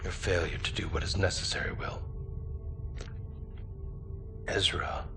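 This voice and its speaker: Grave older military mentor, low voice, unhurried, stern, game drama, music behind. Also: male voice, fairly even intonation. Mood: stern, solemn. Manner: deliberate, grave, measured with weighty pauses. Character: stern older male clan warrior mentor, grave and unyielding. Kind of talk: dramatic game cutscene acting.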